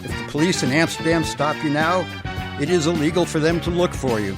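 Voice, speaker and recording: A man's voice: a little high, fairly quick, lightly acted. A man playing a chatty, worldly hitman in a stilted radio comedy. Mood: explaining. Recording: guitar music behind dialogue.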